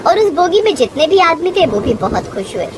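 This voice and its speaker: A woman's voice: very high-pitched, sing-song, fairly quick, noisy background. A woman narrating a scripted moral story as a storyteller.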